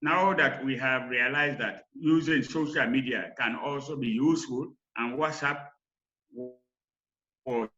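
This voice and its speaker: A man from Sierra Leone with a Sierra Leonean accent, presenting recommendations in a halting, emphatic lecture style over lo-fi call audio. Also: mid-range voice, pushed and bright, faint music behind. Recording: dull, lo-fi online call audio.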